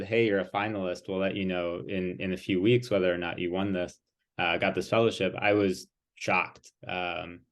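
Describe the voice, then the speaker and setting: Male author guest, low voice, thoughtful, hesitant, lots of ums. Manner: thoughtful, hesitant, many fillers. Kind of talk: interview conversation.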